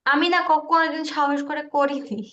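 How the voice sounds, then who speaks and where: A woman's voice: high voice, steady tone, moderate pace, dull audio, crowd noise. A woman casually walking others through her screen in a group call, sounding hesitant and unsure. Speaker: participant demonstrating screen. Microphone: online call.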